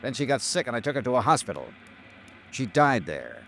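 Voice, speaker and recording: A man playing a tough, world-weary insurance investigator in a 1950s radio drama, clipped and deadpan. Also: mid-range voice, a little fast, a little hiss or hum. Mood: firm, impatient. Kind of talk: 1950s radio detective drama acting and narration.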